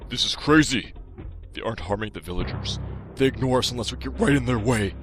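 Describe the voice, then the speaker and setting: A man's voice: medium pitch, brisk, loud music behind the voice, close to an everyday voice. A man playing an exasperated heroic warrior, urgent and indignant, in a fantasy audio drama. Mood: frustrated. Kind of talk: fan audio drama acting.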